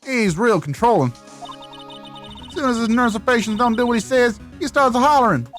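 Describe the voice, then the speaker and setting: A feisty country woman from a video game, acted out by a woman, gossiping in brash rural slang. Her pitch swings around in a lively way, with faint music playing behind her. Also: normal speed, close to an everyday voice. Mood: brash, gossipy.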